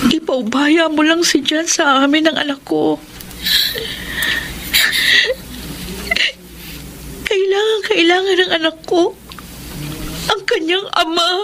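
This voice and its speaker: An actress playing a pleading, heartbroken young single mother in a Filipino radio melodrama, with a Filipino accent and an emotional, drawn-out delivery. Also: very high-pitched, fairly even intonation, a bit bright, slight background noise. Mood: earnest pleading. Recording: radio drama with music underneath.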